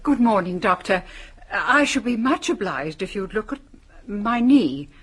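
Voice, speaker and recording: A prim British housekeeper with guarded curiosity. Her husky voice rises and falls a great deal, with formal, probing delivery in radio play style. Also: mid-range voice, animated, a little slow, fairly bright tone. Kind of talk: radio drama acting.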